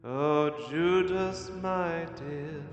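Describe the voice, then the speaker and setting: An actor playing a fervent, dignified asylum lunatic in an audio drama, formal, courteous and pleading. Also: male voice, a little high, fairly even intonation, drawn-out words, smooth, dull audio, soft background music. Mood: wistful singing. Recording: long reverberant hall.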